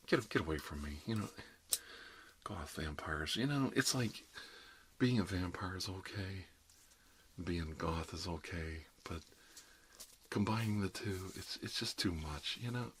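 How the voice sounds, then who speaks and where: A middle-aged man speaks in a low register, playing a chatty dentist in an ASMR roleplay. He rambles in a jokey, conversational way, often saying "you know". Light background noise sits behind his voice. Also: fairly bright tone. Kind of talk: ASMR roleplay, rambling one-sided casual chat.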